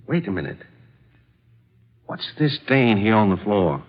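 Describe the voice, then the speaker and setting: Gruff police captain, muffled radio. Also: male voice, lowish voice, big pitch swings, unhurried. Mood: puzzled, disbelieving. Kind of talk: 1940s radio drama acting. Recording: dull vintage radio broadcast recording.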